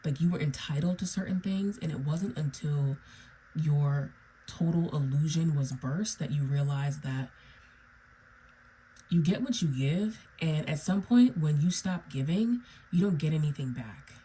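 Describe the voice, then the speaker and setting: A woman talks her viewers through a tarot spread in a low, mellow voice that is a little raspy from feeling unwell. She wanders through her points and keeps checking in with "okay?" and "right?". A faint hiss of background noise sits under her voice. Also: measured pace, rough recording. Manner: meandering, repeats "okay?" and "right?". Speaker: tarot reader. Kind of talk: solo tarot reading to viewers.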